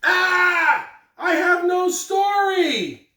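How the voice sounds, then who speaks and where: A woman's voice: very high-pitched, animated, extremely slow, pushed and bright, raised voice, voice pushed up, heavily acted. A woman voicing a frustrated, plaintive young schoolboy from a storybook. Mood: exasperated. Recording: echoey room, distant mic.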